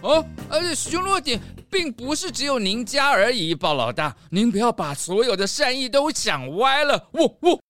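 A man's voice: very high voice, big pitch swings, moderate pace, hardly any pauses, sharp tone, no echo, soft background music, exaggerated voice. A man playing a timid, ingratiating neighborhood patrol dog in a children's audio drama, polite and stammering. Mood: flustered. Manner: stammering, nervous chuckling, polite.

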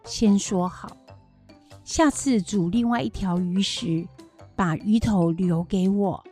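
A woman playing a kindly grandmother in a children's educational radio drama, with a husky, plain-spoken delivery. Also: medium pitch, slow, dark tone, faint music behind, natural voice. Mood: mock scolding. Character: kindly grandmother raising her grandchildren, fond of fish heads.

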